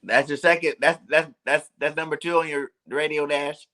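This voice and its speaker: A man's voice: a little high, steady tone, moderate pace, bright, forceful tone. A man, co-hosting a fan call-in show, teasing his partner in easygoing chat and chuckling a lot. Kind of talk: casual chat.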